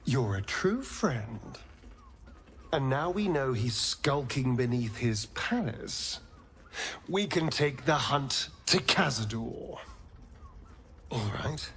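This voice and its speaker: An actor playing a sardonic, vengeful male vampire elf rogue in a fantasy game, with theatrical, drawn-out delivery. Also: mid-range voice, big pitch swings, fairly bright tone, exaggerated voice. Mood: sly, playful. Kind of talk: cinematic fantasy game acting.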